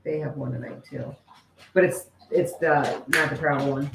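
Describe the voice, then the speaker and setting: A woman's voice: very deep voice, steady tone, normal speed, creaky, very noisy. A woman chatting casually and informally with viewers as co-host of a live stream. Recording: echoey room.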